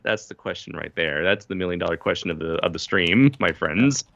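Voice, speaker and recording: A man's voice: low-pitched, fairly quick, vocal fry, a bit bright, dry recording, lo-fi sound. A young man joking playfully in a casual chat, sometimes with mock-grand phrasing.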